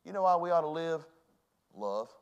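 Fervent preacher, high-pitched man. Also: expressive, moderate pace, smooth, dull audio. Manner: preaching with sweeping swings from loud to hushed. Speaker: pastor. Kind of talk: sermon. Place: church.

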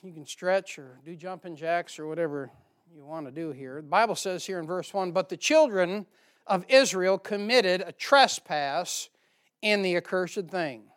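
A man's voice: high voice, moderate pace, fairly bright tone, smooth. A middle-aged American man preaching a church sermon and reading from the Bible, with informal, folksy asides to the congregation.

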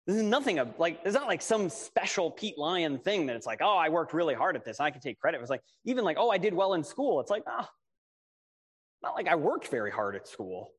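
A man's voice: on the high side, big pitch swings, a little fast, husky. A man with a big, projecting voice preaching a conversational sermon, casual and self-deprecating, often asking rhetorical questions. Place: large reverberant room. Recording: reverberant hall.

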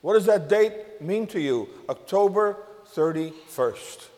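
An older man from the Netherlands preaching a sermon to a congregation, measured, with emphatic rises on key words. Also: sits high, lively intonation, darkish tone, big-room sound. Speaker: pastor.

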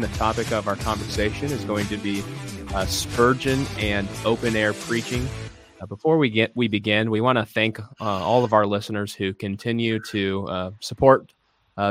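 A man hosting a podcast interview, welcoming his guest in a friendly, formal way with many fillers. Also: on the low side. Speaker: podcast host.

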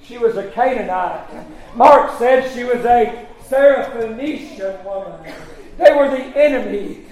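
Guy preacher, really high voice, fiery revival sermon, drags words out, echoey room. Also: a little mellow. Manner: emphatic, drawn-out words with frequent "uh" punctuating phrases. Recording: echoey, roomy live sound.

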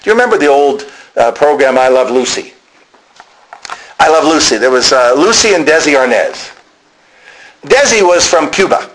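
A man's voice: on the high side, big pitch swings, moderate pace, long pauses, a bit bright, poor audio. A man preaching a sermon, explaining to listeners directly and repeating key phrases for emphasis.

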